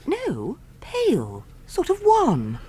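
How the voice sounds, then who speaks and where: An inquisitive English wife in a radio play. Her voice is high, with extremely animated pitch and arch, carefully worded phrasing. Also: slow, a little hiss or hum, heavily acted, low-quality recording. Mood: concerned. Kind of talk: radio drama acting.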